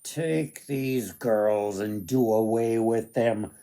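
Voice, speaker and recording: A man's voice: lowish voice, takes it slowly, dark tone. A man voicing a passionate prince in a storybook tale, dramatic and emphatic. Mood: enraged.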